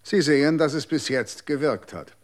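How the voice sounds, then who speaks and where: An actor playing a calm, dismissive official who lectures on the law in a radio drama. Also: male voice, a little high, normal speed, natural voice. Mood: cold. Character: cold, condescending official defending the law.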